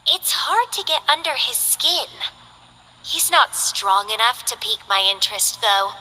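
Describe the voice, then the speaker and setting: A woman's voice: a little high, animated, measured pace, pushed and bright, big-room sound, a little hiss or hum, full character voice, poor audio. A woman voicing a languid, bored young mercenary who loves plants, in game character voice lines with a lazy, teasing drawl.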